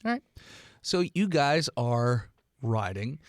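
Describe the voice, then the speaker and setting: A man's voice: medium pitch, big pitch swings, slow, no room sound, clean studio sound. A man hosting a tabletop game podcast as game master, narrating casually to his players.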